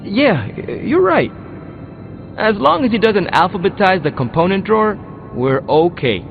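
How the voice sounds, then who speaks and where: A young mechanic hero from a video game, casual and wary. He is informal and easygoing, with lively swings in pitch and muffled sound. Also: a little slow, gravelly, heavily acted. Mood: easing up. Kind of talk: video game dialogue acting. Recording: muffled band-limited game audio, music and noise.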